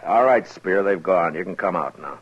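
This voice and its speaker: An American actor playing a stern, fair-minded frontier marshal in a 1950s radio western, speaking firmly and plainly. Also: male voice, deep voice, expressive, normal speed, husky. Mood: steady. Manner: firm, plain-spoken, commanding. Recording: muffled vintage radio recording.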